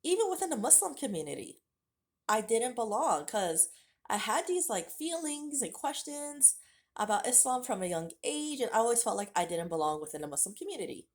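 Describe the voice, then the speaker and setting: A woman's voice: fairly high pitch, sing-song, a little fast. A woman, telling her life story candidly to her viewers in a casual vlog. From United States. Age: late 30s. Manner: candid and conversational, direct address to viewers. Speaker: vlogger. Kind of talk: personal storytelling to camera.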